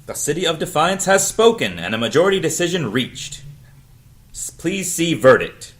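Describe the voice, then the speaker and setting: A man's voice: a little high, very animated, normal speed. A man playing the official voice of an electronic voting device in an audio drama, making formal, declamatory civic announcements.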